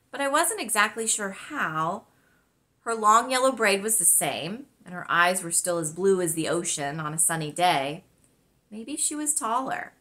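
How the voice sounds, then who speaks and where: A woman narrating a children's novel aloud as its author, with expressive, scripted storytelling delivery. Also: medium pitch. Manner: expressive, clearly dramatized delivery.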